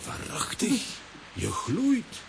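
An actor playing a caring, hapless adventurer who thinks aloud with exclamations in a children's radio play. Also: male voice, slow pace, slight background noise, natural voice. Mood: tender, concerned. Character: excitable, caring, hapless male adventurer hero.

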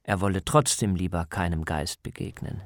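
A man's voice: deep voice, normal speed, dry recording, studio quality. A man speaking as a reporter, reading scripted narration for a radio feature.

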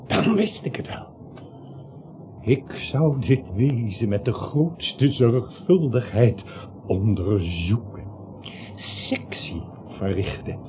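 An actor playing an arrogant, coldly obsessive doctor in a radio play, pompous, deliberate and condescending. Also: male voice, medium pitch. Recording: muffled old radio recording, faint hiss.

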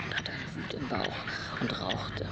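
A man playing a brooding, deranged loner in an experimental radio-play monologue, speaking deliberately in halting fragments, often hushed and breathy, in a creaky voice. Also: natural voice. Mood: seething, low. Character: brooding, morbidly deranged male loner narrating from his tower. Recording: dull, noisy lo-fi radio-play recording.

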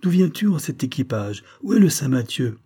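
A man's voice: a little high, sing-song, mellow, husky, pitched above the performer's own voice, heavily acted. A man doing a jittery older fish merchant. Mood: anxious. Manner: halting, choppy questions. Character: anxious older male fish merchant, shaken and stricken.

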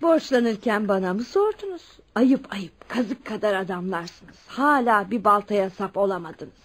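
An actress playing a stern, well-off older aunt in a radio play, reproachful and resigned. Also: female voice, mid-range voice, animated, measured pace, slight background noise, close to an everyday voice. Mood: scolding. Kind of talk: Turkish radio theatre acting.

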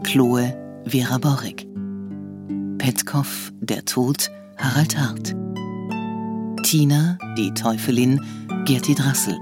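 Very low, slow female announcer. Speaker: radio credits announcer. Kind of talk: scripted announcement. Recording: music under the voice.

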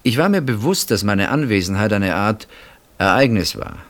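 A male actor playing a middle-aged husband in a radio play, wryly reflective and brooding, speaking with hesitant pauses and deliberate phrasing. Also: fairly low pitch, expressive, fairly bright tone. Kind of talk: radio play acting, intimate dialogue.

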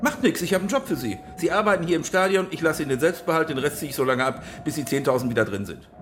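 An actor playing a smug, wealthy boss in a radio crime play, lecturing pompously and with amused condescension. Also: male voice, on the high side, expressive, racing, fairly bright tone, slight background noise. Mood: brisk condescension.

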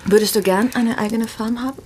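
A woman's voice: fairly high pitch, steady tone, fairly quick, darkish tone, a little hiss or hum, lightly acted. An actress playing a yearning upper-class married woman in a radio drama, speaking in an intimate, hesitant, pleading way. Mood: tentative, hopeful.

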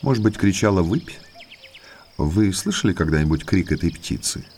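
An actor playing a well-mannered male naturalist in an audio drama, an eager guide to eerie moors who speaks politely and deliberately. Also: low voice, lively intonation, darkish tone, a little hiss or hum. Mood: musing, explanatory. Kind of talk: Russian audiobook drama acting.

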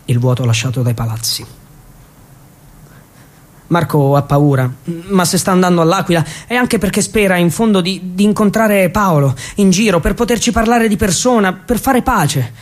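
Casting: male stage storyteller, high-pitched voice, theatrical and ironic delivery of a narrative monologue. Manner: theatrical, with deliberate repetitions and ironic asides. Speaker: actor and storyteller.

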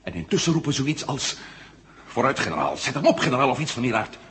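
Felle, vindingrijke avonturenheld. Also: male voice, mid-range voice, big pitch swings, fairly bright tone. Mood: urgent, scheming. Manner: brisk, conspiratorial, giving orders. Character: resourceful commanding male leader in a sci-fi adventure. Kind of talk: 1970 Dutch radio drama acting. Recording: noisy old radio drama recording.

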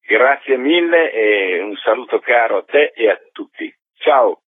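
A man's voice: medium pitch, lively intonation, measured pace, soft background music. A man being interviewed by phone as a theatre maker, explaining his work in a reflective, articulate way over a tinny, muffled line. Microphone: telephone.

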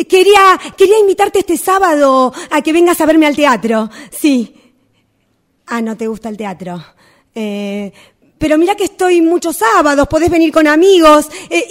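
Radio play role: awkward, eager Rioplatense Argentine woman, acted by a woman, high pitch, coaxing and hesitant. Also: expressive, normal speed. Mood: eager, then let down. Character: eager, hopeful woman awkwardly inviting someone out. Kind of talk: radio theatre workshop monologue.

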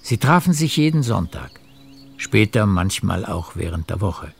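A man narrating a literary radio drama with measured, deliberate phrasing. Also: fairly low pitch, very animated, gravelly, soft background music.

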